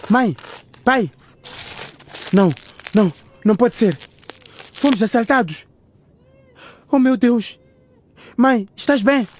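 A man's voice: very high-pitched, slow pace, long pauses, slight background noise. A young man playing an emotional, anxious schoolboy son in a radio drama. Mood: alarmed. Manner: emotional, talking to himself. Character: sulky, anxious schoolboy son, easily alarmed.

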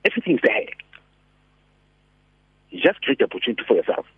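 A man with a raspy voice, a radio guest giving animated, persuasive motivational talk by phone. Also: medium pitch, fast talker, no echo. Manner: animated, persuasive, asks rhetorical questions. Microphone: telephone. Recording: tinny dry phone line.

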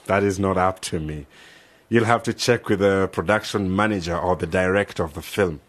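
A man's voice: low-pitched, normal speed. A man acting in a radio drama as a genial, helpful institute director, formal and patient as he explains things.

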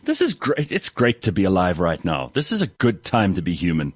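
A man with a very deep voice hosting a radio chat, chatty and enthusiastic. The sound is dry and close. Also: wildly swooping pitch, a little fast, breathy edge, no room sound. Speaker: radio host.

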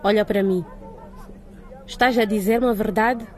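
A woman's voice: mid-range voice, fairly even intonation, normal speed, a little mellow, some chatter behind, close to an everyday voice. A woman playing a firm, inquisitive middle-aged school director in a radio drama, authoritative and probing. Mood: stern, courteous.

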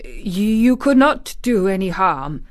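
A woman voicing a miserable, fretful gentleman in a storybook, worried and absent-minded. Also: medium pitch, sing-song, measured pace. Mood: worried, weary. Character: miserable, fretful, distracted middle-aged gentleman guardian.

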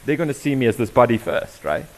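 Lively South African man quizzing an audience. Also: mid-range voice, fast talker, rough recording. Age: young adult. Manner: lively, questioning the audience directly. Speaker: diversity workshop presenter. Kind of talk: interactive conference talk.

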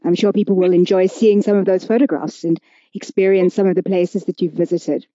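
Low, dark-voiced woman interviewer. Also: fairly even intonation, fairly quick, dry recording. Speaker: podcast host and interviewer. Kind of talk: conversational podcast interview. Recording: dull, lo-fi audio.

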